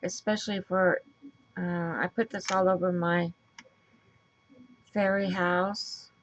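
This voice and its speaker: A woman with a raspy voice and a stuffy nose, chatting casually as she works through a crafting tutorial and thinks aloud. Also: fairly low pitch, level tone, takes it slowly, highs cut off, slight background noise. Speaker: craft video host.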